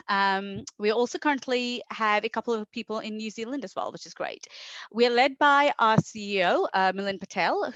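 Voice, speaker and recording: A woman's voice: fairly high pitch, fairly bright tone, dry recording. Female presenter from India, middle-aged, informal and keen conference delivery, close dry sound, slightly lo-fi. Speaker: marketing executive, guest presenter. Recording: dull, lo-fi online presentation audio.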